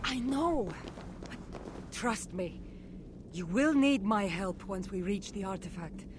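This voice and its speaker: An actress playing a world-weary, self-assured ancient warrior with a measured, earnest delivery in a video game. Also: female voice, mid-range voice, lightly acted. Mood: earnest, regretful. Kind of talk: video game dramatic dialogue. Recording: clear environmental game noise behind voice. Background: game ambience.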